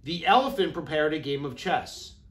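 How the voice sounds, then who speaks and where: A man in his thirties reading a children's storybook aloud with deliberate, expressive phrasing, as an educator does for young children. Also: a little high. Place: classroom, indoors.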